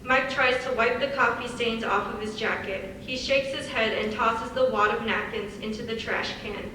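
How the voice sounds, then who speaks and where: A woman's voice: fairly high pitch, steady tone, moderate pace, sharp tone. A woman reading stage directions as scripted narration. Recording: echoey hall, far from mic, faint noise.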